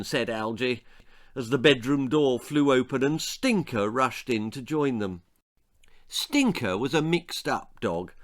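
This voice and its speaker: A man's voice: mid-range voice, fairly bright tone. An English man reading a children's story aloud in a theatrical, relishing way, as dramatic narration. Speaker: author reading his own story.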